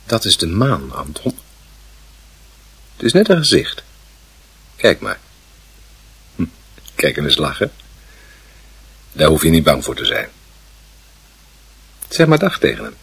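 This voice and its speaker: Coaxing, reassuring father in radio play; bright, forceful edge, animated delivery. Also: extremely low pitch, measured pace, gravelly, pauses often, breathy edge, heavily acted, poor audio. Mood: gently coaxing. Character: indulgent, gently reassuring father of a small boy. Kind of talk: radio drama acting.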